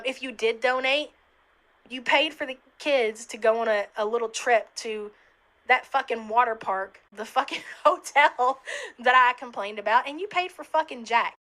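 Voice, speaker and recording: A woman rants on her livestream, exasperated and swearing all the way through. Her voice is high and bright with a sharp edge. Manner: profane and exasperated. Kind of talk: livestream rant.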